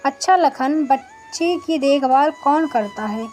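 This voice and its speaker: A woman voicing a scheming, persuasive male village headman in a moral story, with measured, reassuring speech. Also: high-pitched, animated, darkish tone.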